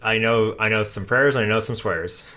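A man's voice: lowish voice, normal speed, pushed and bright. A man co-hosting a podcast, chatting enthusiastically with lots of laughter and many fillers and restarts. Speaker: podcast co-host. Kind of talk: casual fan chat recapping an episode.

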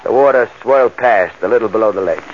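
A man's voice: fairly low pitch, fast talker, lightly acted. Guy acting eager small-time new crook, slangy working stiff, old radio crime show, dull sound w/ some hiss. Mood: matter-of-fact narrating. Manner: colloquial, tough-guy slangy.

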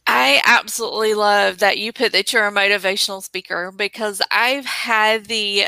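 A woman's voice: medium pitch, fairly even intonation, normal speed, gravelly, bright, forceful tone, dry recording, poor audio. A woman hosting a podcast interview over a remote call, enthusiastic and effusive in casual chat. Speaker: podcast host.

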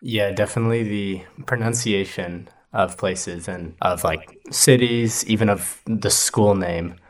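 A man's voice: on the low side, unhurried. A young man from the United States, chatting in a laid-back, hesitant way as a podcast guest. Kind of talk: casual podcast chat.

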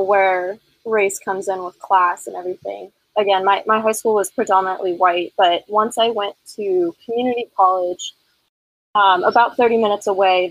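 A woman's voice: medium pitch, dry recording, poor audio. Woman, twenties, from Northern California, thoughtful, chatty guest, dry sound. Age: 20s. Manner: thoughtful, frequent fillers. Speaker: podcast guest. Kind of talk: casual conversation.

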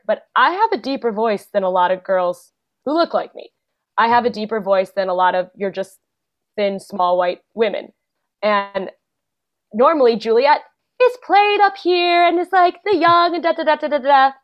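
A young adult woman, a guest actor and playwright, chatting casually in an interview, full of fillers and quick laughs. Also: a little high, lively intonation. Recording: dull lo-fi podcast audio.

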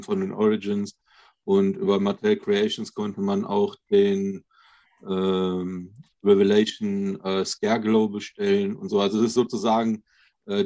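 A man's voice: on the low side, darkish tone. A man co-hosting a podcast, talking casually and conversationally as a fellow collector. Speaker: podcast co-host. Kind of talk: casual chat among collectors. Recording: dull, lo-fi voice connection.

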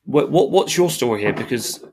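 A man's voice: medium pitch, animated, normal speed, noisy background. A man hosting a business podcast, talking casually and stumbling over repeated words. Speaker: podcast host, sales director.

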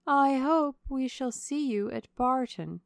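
Woman playing a genteel, measured lady of the house. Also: sits high, expressive, gravelly, dry recording, studio quality, voice pushed up, heavily acted. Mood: polite. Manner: slow, measured, polite.

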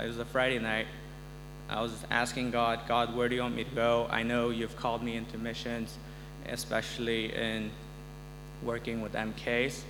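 A man from Korea and Uganda giving a personal testimony to an audience as a new teacher, in an informal, conversational way. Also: mid-range voice. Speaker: incoming missionary high school math teacher. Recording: echoey hall, loud electrical hum.

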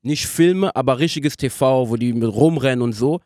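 A young man speaking casually as a podcast guest, in a halting way as he searches for words. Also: mid-range voice, mellow, no echo, studio quality. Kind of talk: casual interview conversation.

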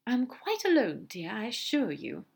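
A woman voicing a gentle, fussy male angel in audiobook dialogue, prim, courteous and a little hesitant. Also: a little high, wildly swooping pitch, a little slow, vocal fry, breathy edge, voice pushed up, exaggerated voice. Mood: surprised, polite.

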